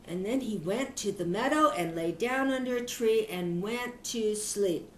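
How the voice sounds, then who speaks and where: An older woman, a grandmother, reading a children's story aloud in an expressive storytelling manner. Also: medium pitch, measured pace, a little hiss or hum. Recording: echoey room.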